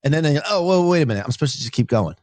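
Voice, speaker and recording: An energetic man hosts a casual interview, hitting his key words hard and talking in loose slang. His voice comes across dry and close, with no room sound around it. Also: a little high, very fast, no room sound. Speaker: podcast host.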